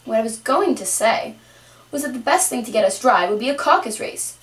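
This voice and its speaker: An officious dodo acted by a woman explains things in formal, wordy style. The puppet-show bird is animated in pitch and sounds roomy. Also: fairly quick, close to an everyday voice. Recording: roomy, distant home microphone.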